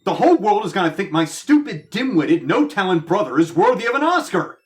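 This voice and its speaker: Casting: vain, wronged thespian, melodramatic and petulant, very lively intonation, comic audio drama. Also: male voice, high voice, a little fast. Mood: outraged, wounded. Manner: melodramatic, indignant, exclaiming. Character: vain, aggrieved actor brother, theatrical and petulant. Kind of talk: comedic audio drama acting.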